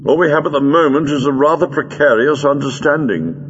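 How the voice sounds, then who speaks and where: A man voicing a brisk, authoritative adventurer doctor with a wry, commanding manner in an audiobook. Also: fairly high pitch, husky, in a large hall, muffled recording, voice pushed up. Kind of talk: dramatic audiobook character voice.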